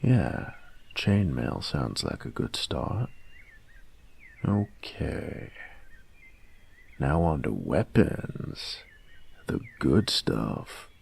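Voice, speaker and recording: A man playing a gruff young bully in an audio roleplay, husky and gravelly, talking in halting slang with feigned indifference. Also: very deep voice, sing-song, a little mellow, exaggerated voice. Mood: casual, curious. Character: gruff young male bully, secretly curious about nerdy games. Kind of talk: intimate one-sided audio roleplay, casual dialogue.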